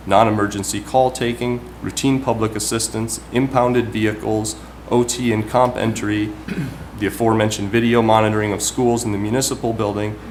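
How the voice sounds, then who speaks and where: Low-voiced councilman reading formal remarks. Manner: formal, measured, deliberate. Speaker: town councilman. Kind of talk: prepared public remarks at a council meeting. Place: municipal meeting room. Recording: clearly noisy public meeting recording.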